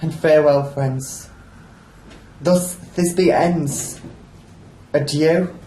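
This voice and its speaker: Woman as a mournful maiden, very slow, lowish. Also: steady tone, mellow, a little hiss or hum. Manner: declamatory, mournful, drawn-out verse delivery. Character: grief-stricken lovelorn young maiden in a mock tragedy. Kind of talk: amateur Shakespeare radio play acting. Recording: echoey room.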